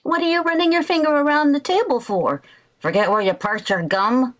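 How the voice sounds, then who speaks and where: An actress playing a sarcastic housewife who makes dry wisecracks, in vintage radio sitcom style. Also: female voice, high voice, a little fast, fairly bright tone. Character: sarcastic young housewife bristling at her mother-in-law.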